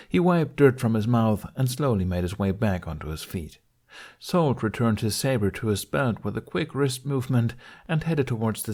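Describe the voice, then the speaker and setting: A man's voice: lowish voice, a little mellow. A man reading a scripted story aloud as an audiobook narrator. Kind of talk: scripted narration of fan fiction.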